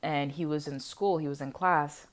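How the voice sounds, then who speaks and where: Woman, 20s, low-pitched voice, unscripted personal vlog, conversational and animated, wanders between thoughts. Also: moderate pace. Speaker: vlogger sharing personal reflections on history. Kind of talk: casual unscripted chat to viewers.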